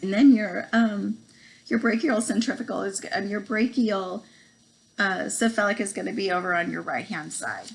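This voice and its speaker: A woman in her forties with a cold, losing her voice, giving a halting home video lesson full of ums and stumbling over medical terms. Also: medium pitch, vocal fry, rough recording. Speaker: student presenter. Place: small room at home, indoors. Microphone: laptop webcam.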